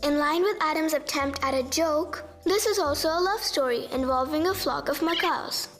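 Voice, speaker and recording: A young girl from India speaks confidently as a podcast co-host. Her voice is high, and faint music plays behind her. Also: normal speed, fairly bright tone.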